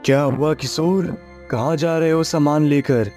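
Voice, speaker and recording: A man voicing a kindly, calm older village uncle in a story cartoon, fatherly and consoling. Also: fairly high pitch, a little slow, faint music behind, close to an everyday voice. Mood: concerned, kindly. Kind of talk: acted dialogue in a moral story cartoon.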